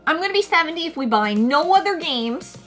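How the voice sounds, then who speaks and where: A woman's voice: high voice, expressive, fairly quick, fairly bright tone, faint music behind. A woman in her forties co-hosting a casual chat, laying out figures and averages. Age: 40s. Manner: chatty, lays out figures and averages. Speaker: co-host of a retro gaming channel.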